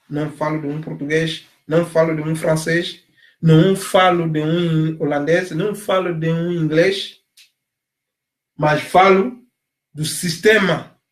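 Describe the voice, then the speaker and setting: A man from Africa speaks in an impassioned, emphatic monologue. His voice sits fairly high and moves slowly, leaning hard on repeated phrases. The room around him rings with echo. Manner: emphatic, repeats phrases, rising to loud stresses. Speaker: commentator on migration.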